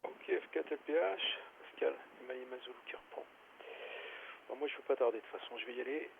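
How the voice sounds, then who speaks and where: A man's voice: medium pitch, fairly quick, vocal fry. A man rambling casually and correcting himself as an amateur operator over a hissy radio link. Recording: hissy, tinny narrowband ham radio.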